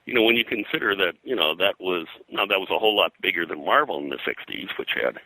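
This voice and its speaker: An older man reminiscing as an interview guest, casually rambling and halting, with false starts and repeated phrases. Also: low-pitched, expressive, bright, forceful tone, dry recording, low-quality recording. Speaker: interview guest, veteran comics production artist.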